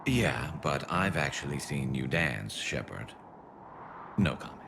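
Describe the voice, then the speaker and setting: A man voicing a cocky, wry alien soldier in a video game, gravelly, with dry teasing banter. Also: a bass voice, lively intonation, unhurried, noisy background, full character voice. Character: cocky, wry male Turian alien soldier and loyal friend.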